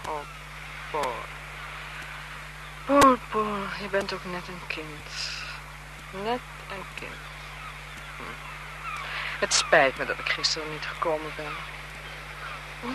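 Cool adulterous wife, dismissive and superior, lively intonation, dramatic radio-thriller style, noisy vintage broadcast sound. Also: female voice, lowish voice, takes it slowly, pauses often. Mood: soothing. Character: cool, haughty married woman, condescending to her young lover. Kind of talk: 1970s Dutch radio thriller acting.

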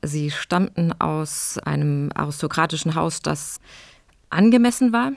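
A man explaining in interview style as an expert, speaking in a deliberate, academic manner. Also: fairly high pitch, animated, vocal fry, no room sound. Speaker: expert commentator.

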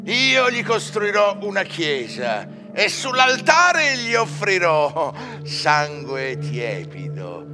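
An actor playing a tormented, proud scholar turning to the devil, brooding and declaiming a verse tragedy monologue. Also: male voice, sits high, wildly swooping pitch, exaggerated voice. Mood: torn, defiant. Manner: brooding, declamatory, dramatic pauses. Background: music.

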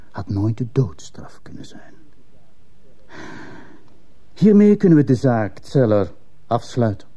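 An actor playing a stern, probing male police commissioner in a radio play, questioning in a formal, measured manner. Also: medium pitch, mellow, natural voice. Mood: accusing, grave. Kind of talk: Dutch-language radio drama acting.

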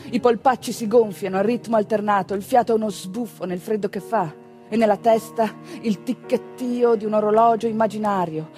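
A woman narrating a theatrical monologue in an expressive, deliberately phrased way. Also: medium pitch. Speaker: stage actress and narrator.

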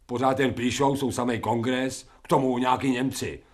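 A man's voice: medium pitch, steady tone, natural voice. An actor in a radio drama playing a crude, stubborn, uneducated dog turned into a man, speaking in coarse, blunt slang. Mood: blunt, dismissive. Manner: coarse slangy speech, blunt, halting when thinking.

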